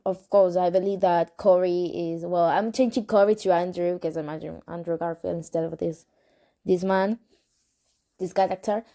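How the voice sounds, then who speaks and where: A young woman whose first language is Spanish, reading a novel aloud in English with a Spanish accent and stopping for casual asides, unhurried and correcting herself as she goes. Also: fairly low pitch, steady tone, mellow. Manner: unhurried, hesitant, self-correcting. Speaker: amateur reader of a novel.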